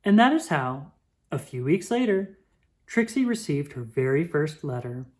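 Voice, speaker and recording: Female storyteller for picture-book read-aloud: low register, highly animated, dramatic emphasis. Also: normal speed. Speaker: children's book reader.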